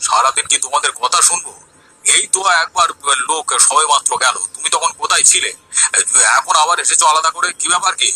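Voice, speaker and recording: A man acting a grumpy holy man, forceful and sharp. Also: fairly high pitch, fast talker, husky, full character voice, rough recording. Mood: irritated. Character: grumpy greedy fake holy man, easily irritated. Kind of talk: Bengali cartoon story dialogue.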